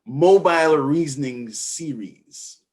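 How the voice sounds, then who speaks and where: Jamaican man, interview host, enthusiastic, fairly high voice. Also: takes it slowly. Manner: enthusiastic, mixes in patois, animated. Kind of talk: conversational interview.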